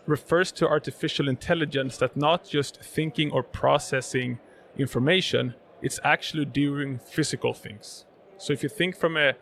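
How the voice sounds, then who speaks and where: Gravelly Swedish man, halting explanations. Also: a little high, fairly bright tone, a little hiss or hum. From Sweden. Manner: many fillers, repeats words while explaining. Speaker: guest, robotics sales manager. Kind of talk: conversational interview answers. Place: live event podcast booth.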